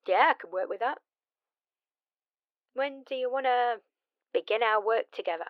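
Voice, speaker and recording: A woman's voice: on the high side, lo-fi sound. A woman playing a blunt, wry immortal vampire mentor in a one-sided audio drama, conversational and teasing in her delivery. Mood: curious, teasing.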